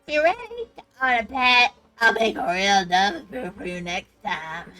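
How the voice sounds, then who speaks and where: A woman's voice: mid-range voice, very animated, measured pace, pushed and bright, soft background music. A young woman putting on a strained, earnest child's voice as she reads out notes in a game. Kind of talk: playful character reading over gameplay.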